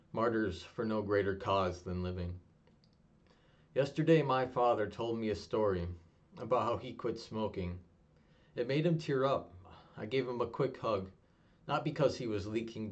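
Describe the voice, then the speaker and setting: A young man with a fairly low voice reads his own poetry aloud, steady and low-key, close to monotone. The sound is a little dull and lo-fi, like a simple home recording. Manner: low-key, even, self-described monotonous delivery. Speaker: poet reading his own work. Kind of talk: spoken word poetry reading.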